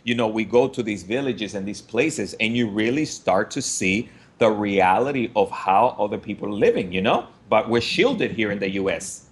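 A man's voice: fairly low pitch, expressive. A man from the Dominican Republic, a pastor co-hosting a broadcast discussion, affirming his partner with many fillers. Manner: affirming, fillers, quick laughs. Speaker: pastor and co-host.

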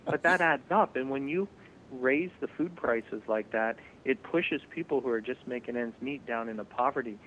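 A man co-hosting a broadcast discussion, reeling off statistics in a measured way. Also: medium pitch. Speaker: co-host. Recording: tinny phone-like line with faint hum.

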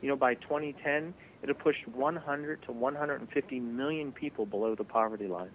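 A man's voice: mid-range voice, slight background noise. Man on a tinny phone-like line. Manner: reels off statistics, measured. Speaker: co-host. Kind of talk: conversational broadcast discussion.

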